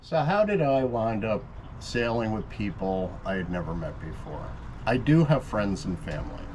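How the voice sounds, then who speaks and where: A man's voice: on the low side, big pitch swings, moderate pace, heavy background noise. A man who owns a sailboat, casually telling the camera how he came to sail with strangers. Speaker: boat owner and vlog host.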